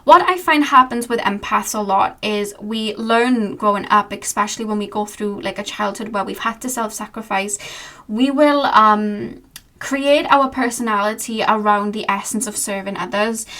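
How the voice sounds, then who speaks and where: A woman's voice: mid-range voice, normal speed, sharp tone. A young woman giving a channeled tarot reading in a meandering way, searching for words with many fillers. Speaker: tarot reader.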